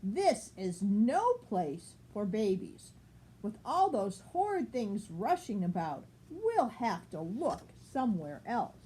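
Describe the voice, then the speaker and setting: An older woman voicing a fussy, excitable mother mallard in a storybook, with a fretful, emphatic manner. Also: mid-range voice, takes it slowly. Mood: flustered, alarmed. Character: fussy, excitable mother mallard duck, choosy about homes. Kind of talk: storybook character reading.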